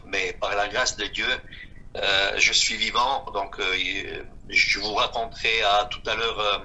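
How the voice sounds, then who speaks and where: The sort of man heard giving his testimony on a community radio slot: fifties, low-pitched, feeling his way haltingly from phrase to phrase. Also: sharp tone, poor audio. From Switzerland. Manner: halting, repeating himself. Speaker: guest giving a personal testimony. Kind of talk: unscripted spoken testimony.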